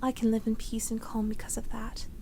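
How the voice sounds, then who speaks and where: A woman playing a patient, pious schoolgirl who speaks softly and earnestly in an audio drama. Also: fairly high pitch, flat delivery, fairly quick, creaky, whispered. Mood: gently earnest.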